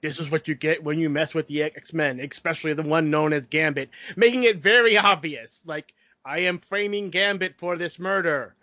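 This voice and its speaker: A man's voice: fairly high pitch, normal speed, pushed and bright, no echo, dull audio. A man co-hosting a podcast, talking casually as he recaps a show. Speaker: podcast co-host. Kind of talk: casual chat recapping a TV cartoon.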